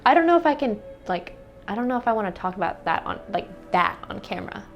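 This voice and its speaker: A woman's voice: medium pitch, big pitch swings, fast talker, dull audio, faint music behind. Young American woman, chatty and casual. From United States. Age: young adult. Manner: chatty, with frequent "like" fillers. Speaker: interviewee. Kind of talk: casual interview answers.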